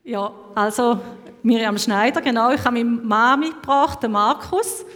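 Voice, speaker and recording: A woman in her thirties with a Schaffhausen Swiss German accent introduces herself to a congregation in a chatty way, with plenty of hesitant fillers. Her slightly high voice rings out with long hall reverb. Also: moderate pace, fairly bright tone. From Canton Schaffhausen, Switzerland. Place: church hall.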